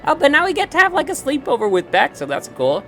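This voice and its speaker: A man's voice: extremely high pitch, huge pitch swings, fast talker, sharp tone, faint music behind. A man giving casual, chatty game commentary, reacting and musing aloud as he plays. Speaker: let's play streamer.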